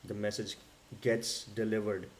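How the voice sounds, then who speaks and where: A man co-hosting a song reaction, agreeing warmly and often with his partner before giving his own view. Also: fairly low pitch, level tone, a little slow, a little hiss or hum. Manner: agreeable, frequent affirmations. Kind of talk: casual reaction chat.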